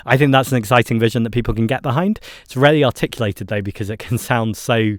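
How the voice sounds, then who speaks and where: A man's voice: mid-range voice, no room sound, clean studio sound. A man from Manchester with a Mancunian accent, a guest on a podcast talking fluently and animatedly in casual conversation. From Manchester, England. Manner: fast, fluent, animated with laughs and asides. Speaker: guest politician.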